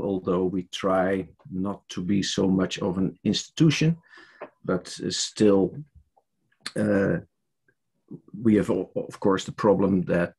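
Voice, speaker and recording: A man's voice: sits low, creaky, darkish tone, husky. An older man from the Netherlands with a Dutch accent, speaking hesitantly with many fillers and restarts as an interviewee on a video call from his home study. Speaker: church leader interviewee. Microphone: headset on a video call.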